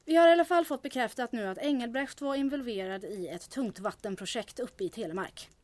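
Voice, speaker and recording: En kvinna som nyfiken förtrogen, ganska ljus röst. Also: female voice, a little high, a little mellow, dry recording, lightly acted. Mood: wry, amused. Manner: inquisitive, confiding. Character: concerned, sharp female confidante, gossipy and wryly amused. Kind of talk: audio drama acting.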